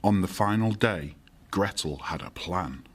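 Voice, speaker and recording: A man's voice: low-pitched, expressive, measured pace. A man narrating a fairy tale as the storyteller in an audio drama. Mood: measured, storytelling.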